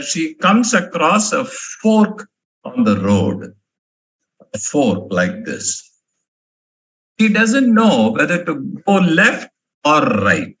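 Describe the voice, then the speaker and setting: A man's voice: a little high, a bit bright, poor audio. A male professor from India giving a motivational management lecture, emphatic and fond of repeating key words. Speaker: professor giving a guest talk.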